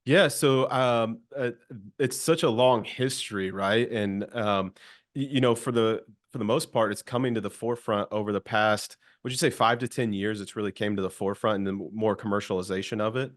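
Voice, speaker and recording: A man's voice: fairly low pitch, a little fast. A man hosting a casual interview, speaking in an upbeat, informal way with frequent repeated words. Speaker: interview host.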